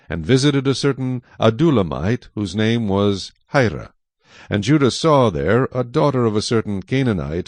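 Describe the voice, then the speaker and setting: Low male narrator doing dramatized bible reading, very expressive, dry close sound. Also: dry recording. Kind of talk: dramatized scripted scripture narration.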